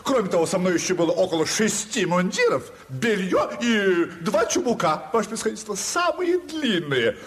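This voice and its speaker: Casting: boastful provincial squire, high and extravagantly animated, fawning on his superiors, theatrical audio drama. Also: moderate pace, few pauses. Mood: boastful, eager. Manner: obsequious, effusive, deferential. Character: boastful, fawning provincial landowner, eager to impress.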